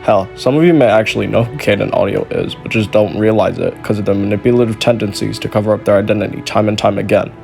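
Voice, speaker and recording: A man's voice: on the low side, fast, vocal fry, few pauses, darkish tone, faint music behind. A man delivering scripted narration in the style of an exposé documentary. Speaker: video narrator.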